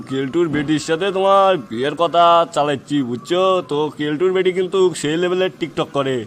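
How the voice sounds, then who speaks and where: A man's voice: on the high side, slight background noise, close to an everyday voice. A man with a Bogra accent from northern Bangladesh voicing a wheedling, money-minded village matchmaker in a comic cartoon, explaining and bargaining repetitively. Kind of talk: comic dialect cartoon voice acting.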